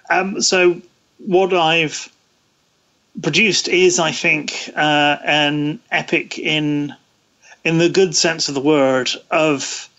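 Slow, halting man on lo-fi audio. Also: fairly high pitch, fairly bright tone. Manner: halting, searching for words. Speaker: interview guest, radio series producer. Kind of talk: thoughtful interview talk.

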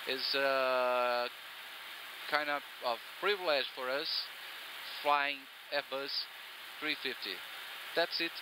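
Halting airline captain in a noisy cockpit. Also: male voice, medium pitch, fairly even intonation. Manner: slow, halting self-introduction with fillers. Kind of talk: speaking to camera. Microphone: headset boom mic. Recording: noisy cockpit, engine hum.

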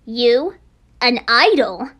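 A woman's voice: on the high side, sing-song, drawn-out words, fairly bright tone, voice pushed down, exaggerated voice. A woman voicing a brash, hot-tempered teenage girl in an anime game, blunt and scolding. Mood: dismissive. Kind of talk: amateur anime game voice acting.

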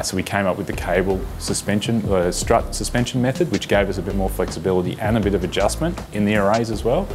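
A man, giving an interview testimonial on a lapel mic. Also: deep voice. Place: bright indoor stadium room.

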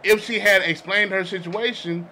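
A man's voice: high-pitched, fairly even intonation, moderate pace, sharp tone, low-quality recording. A man co-hosting a podcast, talking casually and insistently, often repeating himself, with slang and swearing. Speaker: podcast co-host. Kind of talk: casual group debate with slang and profanity. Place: small makeshift home studio, indoors. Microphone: podcast mics on boom arms. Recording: noticeable background noise.

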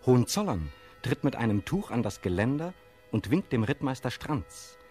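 Lively, melodic male narrator. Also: medium pitch, normal speed, faint music behind. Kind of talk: scripted radio play narration of stage directions.

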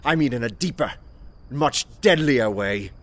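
An actor playing a proud, easily wounded anarchist poet in a radio play, intense, indignant and grandiose. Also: male voice, medium pitch, animated, measured pace, lightly acted. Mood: intense, brooding. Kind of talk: theatrical radio play acting.

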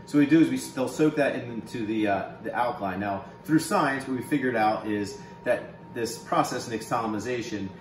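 A man in his thirties giving a relaxed, instructive cooking demo in a home kitchen, with plenty of ums and uhs. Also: mid-range voice, brisk, hardly any pauses, a little hiss or hum. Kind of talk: casual cooking demonstration. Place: large home kitchen, indoors. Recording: echoey kitchen room sound.

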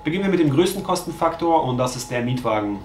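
A man in his thirties, a travel vlogger, calmly going through trip costs to a camera in a bedroom, glancing at his notes. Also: medium pitch, quick pace, roomy sound, soft background music. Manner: matter-of-fact, reads figures from notes. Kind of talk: scripted cost breakdown to camera. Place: bedroom with mosquito net, indoors.

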